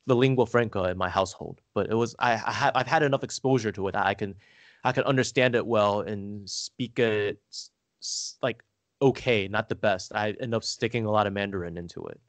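A man telling family stories casually as a podcast guest, halting and rambling, saying "like" often. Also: lowish voice, no room sound. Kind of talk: casual conversational storytelling.

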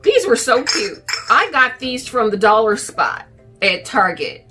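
Casting: middle-aged woman, bright forward voice, casual home haul-video chat, music underneath. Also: fairly high pitch, big pitch swings, measured pace. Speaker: vlogger. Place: furnished living room at home, indoors.

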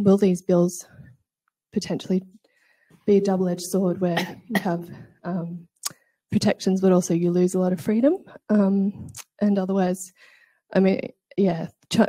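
A woman's voice: lowish voice, dark tone, no room sound. A woman in the audience asking a long question at a conference panel, hesitant and restarting words often. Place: conference room.

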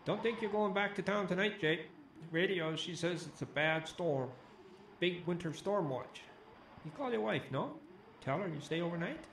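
A man's voice: high-pitched, animated, moderate pace, creaky, reverberant, background noise. An actor playing a hospitable old backwoods camp man in a radio drama, with clipped, folksy phrasing. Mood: folksy, teasing. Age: older.

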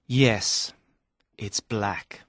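A man voicing an earnest, brave young male knight in an audiobook, with a husky voice. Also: on the low side, drawn-out words, a bit bright, no echo, studio quality, full character voice. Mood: solemn. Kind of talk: audiobook character reading.

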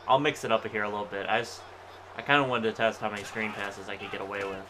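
A man with a somewhat low voice and a stuffy, allergy-blocked nose talks casually over his football game, reacting to each play as it unfolds. A stadium crowd roars from the game audio behind him. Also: steady tone, fast talker, poor audio. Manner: chatty, reacting to plays as they happen. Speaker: gaming channel host. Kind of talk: casual gameplay commentary.

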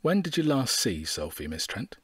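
Husky, sceptical male detective. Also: mid-range voice, sing-song, normal speed, fairly bright tone, no room sound, heavily acted. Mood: probing. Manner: probing, dryly sceptical. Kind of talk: audio drama acting.

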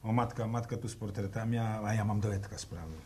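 An actor playing a stubborn, stingy farmer son in a 1960s radio serial, gruff and sarcastic, stumbling into repeated words. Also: male voice, on the low side, flat delivery, fast, a little mellow, close to an everyday voice. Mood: scornful haggling. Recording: old echoey radio recording, faint noise.

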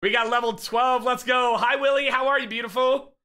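A man in his thirties streams from home on a headset, shouting excited, profane greetings to his viewers. His voice is high and very bright and forceful, though it is wearing thin as he gets sick. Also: fairly quick, clear, resonant tone. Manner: loud, excitable, profane shout-outs to chat. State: losing his voice, getting sick. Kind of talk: casual live-stream chat.

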